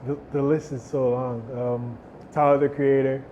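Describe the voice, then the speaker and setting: Relaxed man, mid-pitched. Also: normal speed, dull audio, slight background noise. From Jamaica. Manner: relaxed, thoughtful, restarts words often. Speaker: musician interviewee. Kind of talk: casual interview answers. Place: outdoor stage.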